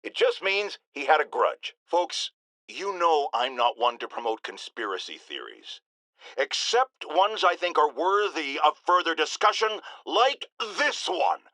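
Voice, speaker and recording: A blustering middle-aged talk radio host from a video game, his voice pitched high and swinging dramatically. He is paranoid and indignant, hammering key words with theatrical force in a bright, cutting tone. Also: sing-song, measured pace, no echo, full character voice. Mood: brusque, insistent, then suspicious, outraged. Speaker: radio call-in show host. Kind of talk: ranting talk radio monologue.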